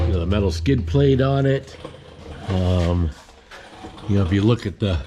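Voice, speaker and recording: A man's voice: on the low side, darkish tone, a little hiss or hum. A sixty-year-old man from Indiana chatting casually in his garage vlog, rambling and folksy, with many restarts. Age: 60s. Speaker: garage vlogger. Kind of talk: casual how-to chat.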